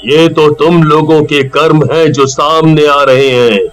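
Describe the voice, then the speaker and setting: Man as stern tantrik sage, cartoon horror story, ominous, very slow, drawn-out words. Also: fairly high pitch, little pitch movement, natural voice, low-quality recording. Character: stern laughing old male tantrik holy man. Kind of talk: animated horror story voice acting.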